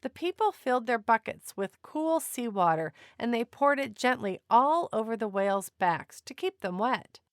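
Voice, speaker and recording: A woman narrating a children's picture book aloud in an expressive, deliberate storytelling manner. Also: fairly high pitch, no echo, studio quality.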